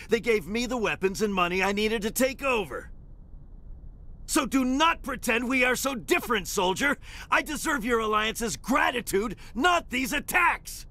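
An actor playing a pompous, hot-tempered crime warlord who styles himself a lord, with haughty, theatrical delivery in a video game. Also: male voice, sits high, bright, forceful tone. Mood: outraged, ranting. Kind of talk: dramatic video game voice acting.

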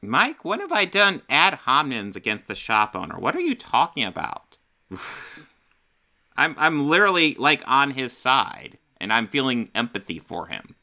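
A man's voice: medium pitch, wildly swooping pitch, normal speed, pushed and bright. A man co-hosting a casual livestream, talking in a wry, exasperated way from a home room. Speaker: podcast co-host.

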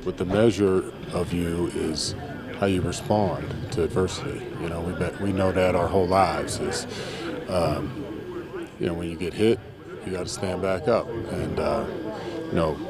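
A man's voice: low voice, a little slow, loud music behind the voice. A man, a football coach, speaking earnestly and plainly in an interview clip.

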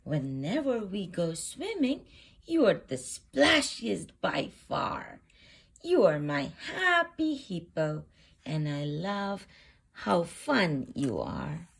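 A woman reading a children's storybook aloud as host, with expressive, deliberate phrasing meant for young children. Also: on the low side, gravelly, slightly rough.

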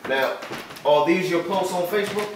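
Man as officious cop, firm, fairly high voice, very echoey room, comedy skit. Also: moderate pace, crowd noise, close to an everyday voice. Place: house interior. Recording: echoey room, far from the mic.

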